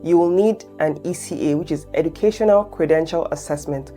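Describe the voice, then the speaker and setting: A woman's voice: sits low, a little fast, mellow, soft background music. A woman from Nigeria, a French teacher and channel host, explaining step by step and often checking in with her viewers. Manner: encouraging, checks in with "okay?". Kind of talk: explainer lesson to camera.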